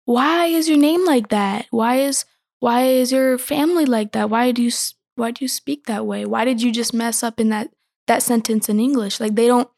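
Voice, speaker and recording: A thirteen-year-old girl answering interview questions thoughtfully, pausing with many fillers. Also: fairly high pitch, vocal fry, darkish tone, no echo, studio quality. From born in Ecuador, raised in the United States. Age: teens. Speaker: guest.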